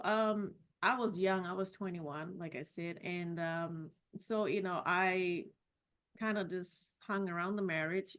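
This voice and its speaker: A middle-aged woman from Singapore, a podcast guest telling her life story in a casual, reflective way with many pauses and restarts. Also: fairly low pitch. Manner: warm, reflective, with frequent "you know" and restarts. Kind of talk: personal story in a casual interview.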